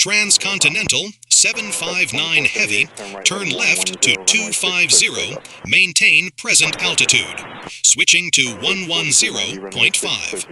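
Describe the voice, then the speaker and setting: A businesslike male airline pilot in an audio drama, performed by a man. His pitch sits fairly high and moves a good deal. Crowd sound is audible behind him. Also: sing-song, measured pace, poor audio. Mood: courteous, routine.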